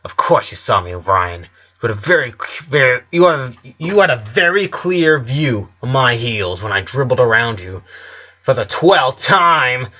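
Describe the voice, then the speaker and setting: A man's voice: medium pitch, big pitch swings, measured pace, bright, forceful tone, highs cut off, full character voice. A man voicing a tough, competitive schoolgirl athlete in an audiobook, curt and confrontational. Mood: angry, accusing. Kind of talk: audiobook character voice.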